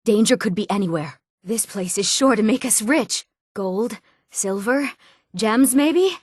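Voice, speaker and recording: A woman's voice: medium pitch, lively intonation, a little slow, breathy edge, dry recording, clean studio sound. A woman playing a headstrong, eager young treasure hunter in a fantasy game, with a youthful voice. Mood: excited. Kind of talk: scripted fantasy game dialogue.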